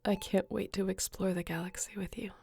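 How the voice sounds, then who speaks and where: A woman acting an exhausted, guilt-ridden, devoted space adventurer in an intimate sci-fi audio roleplay, speaking earnestly and tenderly in halting phrases. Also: mid-range voice, level tone, creaky, warm tone, natural voice. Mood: drowsy, murmuring.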